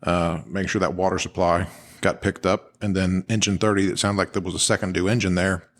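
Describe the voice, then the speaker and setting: Low voiced guy cohosting a podcast, breaking stuff down in a casual explainer way. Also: flat delivery, brisk, slight background noise. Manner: explanatory, informal. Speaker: podcast co-host. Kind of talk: conversational analysis of firefighter radio traffic.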